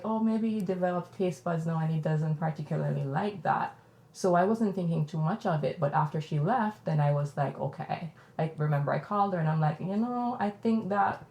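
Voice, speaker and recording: A woman with a Jamaican accent and a low, grounded voice speaks candidly as a podcast host. Her talk is reflective and personal. The room around her rings with noticeable echo. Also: dark tone.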